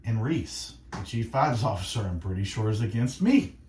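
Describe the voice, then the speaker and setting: A man hosting faith-based radio, speaking in an earnest, reflective monologue of encouragement with short, deliberate phrases. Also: on the low side, animated, echoey room, music in the background. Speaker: radio host. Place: radio studio.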